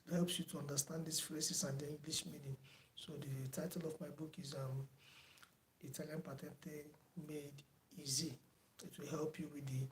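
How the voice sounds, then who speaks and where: Soft-spoken male tutor, slightly high, unhurried and repetitive, echoey room with faint background noise. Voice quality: soft-spoken, says he does not shout. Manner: unhurried, many fillers, repeats himself. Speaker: online driving theory tutor. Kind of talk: closing remarks to a live online class.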